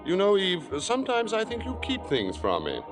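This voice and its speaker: A man's voice: high-pitched, wildly swooping pitch, moderate pace. A man acting an urbane, cynical theater man with an arch manner in a vintage radio drama. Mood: sardonic. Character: suave, cynical, possessive older man of the theater. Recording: old radio broadcast with music behind.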